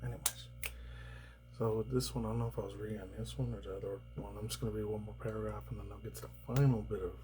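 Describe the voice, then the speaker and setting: Rambling, cursing man with low voice. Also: little pitch movement, racing, dark tone, faint music behind, rough recording. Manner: rambling, swears often, laughs between remarks. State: slightly raspy, tired and subdued. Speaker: commentary YouTuber. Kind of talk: casual rambling news commentary.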